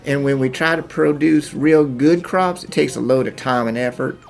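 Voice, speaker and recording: A man's voice: mid-range voice, moderate pace, vocal fry, close to an everyday voice. A man playing a humble, anxious wheat farmer with a rural country accent in a game fan dub. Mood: earnest. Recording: music behind the voice.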